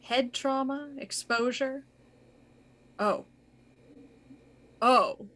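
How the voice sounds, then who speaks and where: An actress playing a shrewd, composed police inspector in a radio murder mystery, deliberate and probing. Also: female voice, high-pitched, pushed and bright, heavily acted. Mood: musing.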